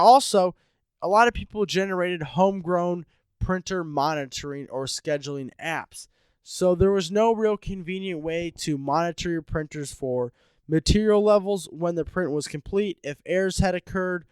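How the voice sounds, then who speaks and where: A man's voice: a little high, clear, resonant tone, dry recording. Stuffy-nosed man hosting a podcast. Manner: upbeat, informal, conversational. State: stuffed up with a head cold. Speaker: podcast host. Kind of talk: solo podcast explainer.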